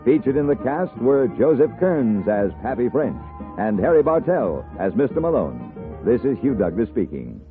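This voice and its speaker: A man's voice: mid-range voice, sing-song, normal speed, narrow, tinny audio, over music. An American man announcing in a formal, polished 1950s network radio style. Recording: band-limited 1950s radio broadcast recording.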